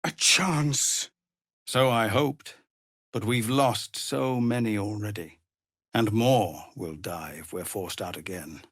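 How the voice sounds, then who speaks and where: An actor playing a weary, dutiful tiefling ex-soldier who leads refugees in a video game, speaking in a grave, measured, earnest way. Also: male voice, on the low side, fairly bright tone, husky, no echo, studio quality, exaggerated voice. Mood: weary, regretful. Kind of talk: dramatic video game character acting.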